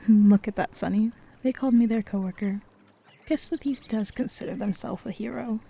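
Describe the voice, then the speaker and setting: Casting: woman as teasing superhero for roleplay audio, mid-pitched, husky, creaky, dryly confident. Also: normal speed, warm tone. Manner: dry, bantering. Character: teasing, self-assured woman superhero, former council member. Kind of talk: roleplay audio drama acting, conversational.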